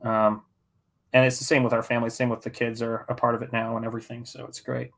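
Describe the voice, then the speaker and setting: A man's voice: medium pitch, little pitch movement, fast talker, vocal fry, fairly bright tone. A middle-aged man from the United States chats casually while painting on a livestream, rambling in a jokey, self-deprecating way. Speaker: artist hosting a live painting stream.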